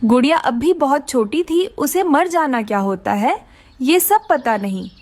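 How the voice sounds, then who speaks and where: A woman's voice: sits high, lively intonation, measured pace, a little mellow. A woman narrating a scripted children's story.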